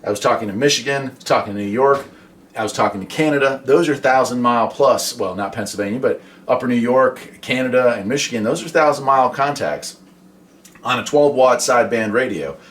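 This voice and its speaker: A man's voice: medium pitch, fairly quick. An American man hosting a casual explainer about his radio hobby, talking in a folksy, conversational way with rhetorical questions.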